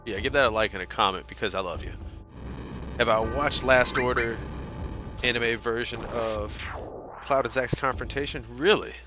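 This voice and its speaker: A man's voice: mid-range voice, moderate pace, music in the background. A man chatting casually with his live-stream chat while playing a game, talkative and self-deprecating. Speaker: speedrunner streamer.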